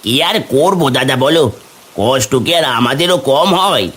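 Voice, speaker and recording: Guy doing defensive bengali veg seller, cartoon, raspy, argues back, slightly high voice. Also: expressive, normal speed, a bit bright. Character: stubborn, defensive village vegetable seller. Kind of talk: cartoon dialogue acting.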